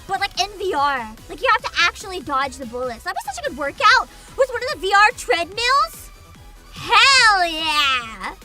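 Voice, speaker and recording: A woman livestreamer chatting casually with viewers in a giggly, rambling, animated way, swearing casually. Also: very high voice. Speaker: VTuber livestreamer. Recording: music behind the voice. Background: music.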